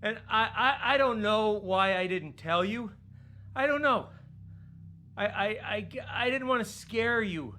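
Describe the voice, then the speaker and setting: Casting: volatile, desperate dying uncle, middle-aged, forceful tone, pleading and swearing, game film drama. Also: male voice, sits high, unhurried. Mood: flustered, apologetic. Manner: agitated, pleading, stammering, swearing. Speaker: actor in interactive mystery film. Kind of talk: naturalistic film drama acting.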